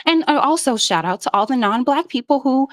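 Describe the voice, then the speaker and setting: A woman from Jamaica calling in to a live show, speaking earnestly and at length, with many pauses and "um"s. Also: sits high, lively intonation. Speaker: caller. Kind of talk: casual call-in commentary.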